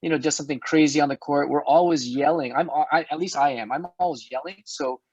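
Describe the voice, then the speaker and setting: A middle-aged man hosting an online health talk, chatty and hoarse, often restarting his words and laughing. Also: fairly high pitch, a little fast, a little mellow. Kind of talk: casual panel chat. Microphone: video call. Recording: dull dry video-call audio.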